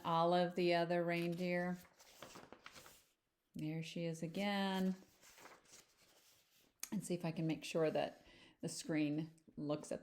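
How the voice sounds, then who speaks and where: A woman reading a picture book aloud like a teacher, animated, with chatty asides and questions to young listeners. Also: fairly low pitch. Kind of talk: children's storybook read-aloud with chatty asides.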